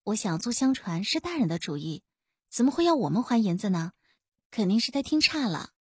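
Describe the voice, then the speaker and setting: A woman's voice: medium pitch, very animated, fairly quick, darkish tone, husky, no echo, clean studio sound. A woman voicing an eager, cheeky young female constable who wheedles and pleads in an ingratiating way.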